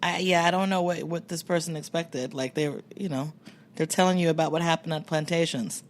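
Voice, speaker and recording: A woman's voice: low voice, brisk, slight background noise. A woman in casual podcast conversation as a co-host. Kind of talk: casual chat.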